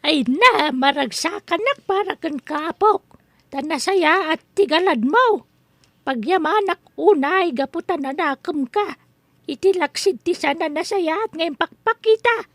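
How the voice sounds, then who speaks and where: A woman playing a fond, gentle old grandmother with an affectionate, fussing manner in an Ilocano drama. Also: very high voice, lively intonation, measured pace, dry recording, heavily acted. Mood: grateful, tender. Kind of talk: Ilocano radio-style drama acting.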